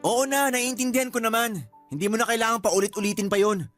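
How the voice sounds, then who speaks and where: A man's voice: very high voice, steady tone, fast, fairly bright tone, faint music behind. A man playing a sulky, complaining young boyfriend in a radio drama, whiny and exasperated in manner. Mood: defensive, sulky. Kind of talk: Tagalog radio drama acting.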